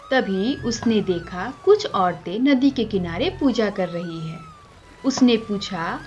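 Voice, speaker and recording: Slow, expressive woman storyteller. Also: mid-range voice, highs cut off. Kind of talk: scripted devotional story narration.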